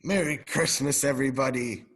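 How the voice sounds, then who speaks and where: An elderly former miser, now overflowing with generosity and repentance as a male student plays him. The delivery is radio drama acting, in a medium-pitched voice. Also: fairly even intonation, moderate pace, a bit bright. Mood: jovial, grateful.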